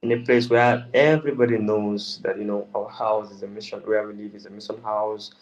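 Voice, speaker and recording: A young man from the Gambia, answering interview questions in a hesitant, halting way with many restarts. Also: lowish voice, level tone, lo-fi sound. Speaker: interview guest.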